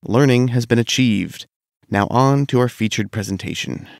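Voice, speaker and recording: A man recovering from a cold, narrating a scripted game commentary in a measured, analytical way. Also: mid-range voice, creaky. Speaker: gaming video host.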